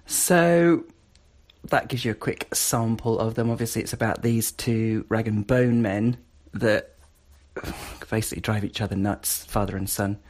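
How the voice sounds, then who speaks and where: A man with a fairly low voice presents a casual review as a podcast host. He hesitates now and then and repeats words for emphasis. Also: unhurried, darkish tone.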